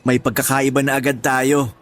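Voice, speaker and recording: A man's voice: medium pitch, flat delivery, normal speed, a bit bright, faint music behind, close to an everyday voice. A man playing a charming, flirtatious younger man who jokes a lot. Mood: joking. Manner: smooth, joking, flirtatious. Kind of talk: radio drama acting.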